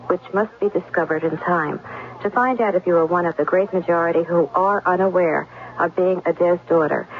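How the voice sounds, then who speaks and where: A woman reads a public service announcement on 1970s radio. She is serious and direct, informing the listener clearly. The sound is tinny and narrow, like a telephone. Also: lowish voice. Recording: tinny vintage radio broadcast.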